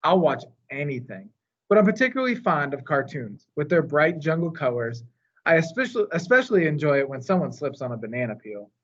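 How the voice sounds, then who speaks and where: A man reading a children's novel aloud in a measured, expressive storytelling manner. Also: fairly high pitch, lo-fi sound. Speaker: storytime reader.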